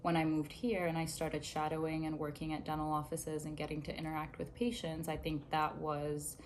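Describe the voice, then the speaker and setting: Low-voiced young woman giving study advice. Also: little pitch movement, slight background noise. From Zambia. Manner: measured, earnest, explains with hand gestures. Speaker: dental student interviewee. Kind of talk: direct-to-camera interview answers. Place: small indoor room, plain wall.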